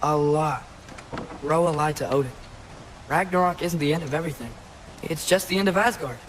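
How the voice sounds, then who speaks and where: A man's voice: a little high, normal speed, a little hiss or hum, lightly acted. A young actor playing an eager, earnest teenage boy hero in a video game, insistent and youthful in his delivery. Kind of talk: video game cutscene acting. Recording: music behind the voice.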